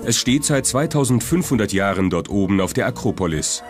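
Deep-voiced documentary narrator, man, over music. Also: animated, a little fast. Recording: music behind the voice.

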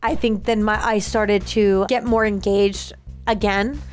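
A woman's voice: mid-range voice, steady tone, unhurried, faint music behind. A woman co-hosting a reaction show in chatty, slangy style, acting out imagined lines as she goes. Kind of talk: casual reaction chat.

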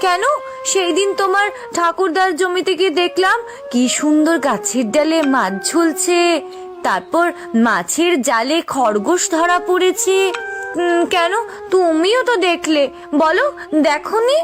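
Very high-voiced naive farmer's wife played by a woman. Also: a little slow, over music. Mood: naive, earnest. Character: naive, chatty farmer's wife, easily amazed. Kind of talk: Bengali cartoon folk tale voice acting.

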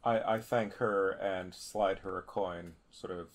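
A man's voice: low voice, fairly even intonation, measured pace. A man playing a tabletop game, casually speaking in character and describing his actions.

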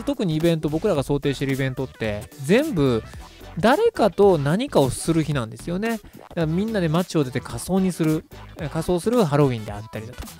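A man's voice: fairly high pitch, a little mellow. A chatty man around thirty co-hosting a casual radio show, talking through his own idea at length. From Japan, Kansai region. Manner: chatty, self-described talkative. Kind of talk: casual monologue pitching an idea.

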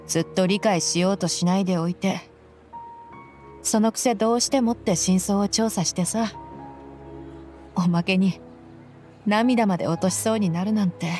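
A woman's voice: medium pitch, a little mellow, close to an everyday voice. Anime game role: young female desert mercenary woman actor, blunt and tomboyish with a reflective heart, light music underneath. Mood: bitter, regretful. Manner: rough, tomboyish casual speech. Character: tough, frank young female desert mercenary, wistful, loyal. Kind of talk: dramatic anime-style game dialogue. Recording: music behind the voice. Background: background game music.

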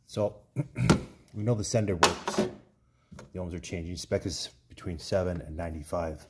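Low voiced mechanic guy talking thru a fix in his garage, noisy background. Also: measured pace. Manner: plain, matter-of-fact explaining while working. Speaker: snowmobile mechanic. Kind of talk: hands-on repair tutorial. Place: indoor garage workshop.

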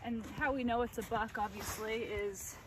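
A woman's voice: a little high, fairly even intonation, moderate pace, a little hiss or hum. Upbeat young woman on a frozen lake. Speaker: fishing vlogger. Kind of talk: casual outdoor chat.

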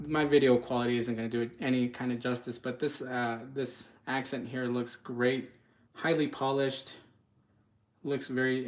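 A man giving casual live product commentary in a home kitchen, speaking informally and a bit hesitantly straight to viewers. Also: mid-range voice. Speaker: product reviewer, channel host.

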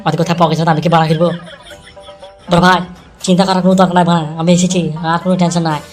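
Very fast-talking scheming henchman, a man acting. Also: high voice, little pitch movement, faint music behind, natural voice. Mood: boastful. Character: boastful, scheming male henchman, eager to please. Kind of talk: amateur comedy cartoon dub.

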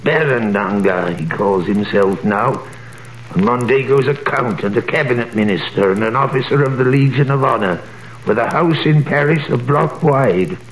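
A bitter, gossipy old tailor in a vintage radio play, his voice gravelly and scoffing. He talks with a worn, resentful edge. The recording rings with echo and a faint hiss. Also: medium pitch. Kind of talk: 1930s radio drama acting.

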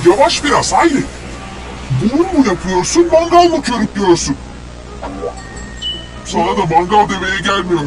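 An actor voicing a lively, teasing child in an animated children's series, with music playing behind. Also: medium pitch, normal speed, long pauses, lightly acted. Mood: exasperated.

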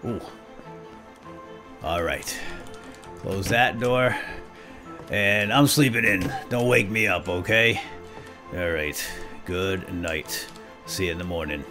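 A man's voice: deep voice, takes it slowly, long pauses. Playful gamer guy over music. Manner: playful, self-chatting, exclaiming. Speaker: host of a gaming series. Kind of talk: casual let's play commentary with light role-play.

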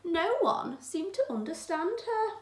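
A high-voiced woman reads a storybook to small children. Her delivery is slightly unhurried and very lively in pitch, with encouraging teacherly asides. The room gives her voice an echo. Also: slight background noise. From England. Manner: expressive, addressing young children with encouraging asides and gasps. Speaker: infant school teacher. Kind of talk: children's storybook read-aloud. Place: small room at home, indoors. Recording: roomy, echoey indoor sound.

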